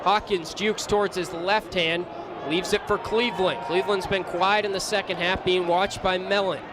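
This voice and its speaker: A young man with a high voice calls a live lacrosse game as a radio play-by-play announcer. He is excitable and lifts into shouts on big plays, with a busy crowd and plenty of noise behind him. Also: normal speed. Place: sports stadium during a live game. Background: crowd and arena noise.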